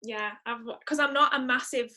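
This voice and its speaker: A woman's voice: on the high side, a little fast, pushed and bright. A woman chatting casually as a guest, emphatic and thinking aloud as she goes. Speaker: podcast guest, singer-songwriter. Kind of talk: casual chat.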